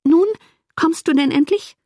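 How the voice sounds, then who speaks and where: A woman's voice: very high-pitched, a little slow, dark tone, dry recording, clean studio sound, voice pushed up. A woman voicing a fretful, self-pitying young married woman in an audiobook, plaintive, demanding and full of complaint. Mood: whiny, self-pitying. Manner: plaintive, whining, wordy. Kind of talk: audiobook character dialogue.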